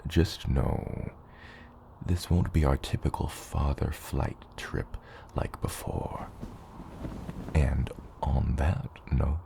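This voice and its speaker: A man playing a gentle giant father dragon patiently teaching his hatchling in a hushed, reassuring ASMR roleplay, his voice breathy and gravelly. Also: fairly even intonation, slow pace, full character voice. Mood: gentle, encouraging. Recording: loud low rumbling noise.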